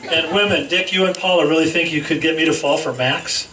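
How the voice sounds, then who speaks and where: A man reading a comedic roast speech aloud to a gathering, teasing with deliberate pauses. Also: a little high, steady tone, crowd noise. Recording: echoey room, distant mic, noisy background.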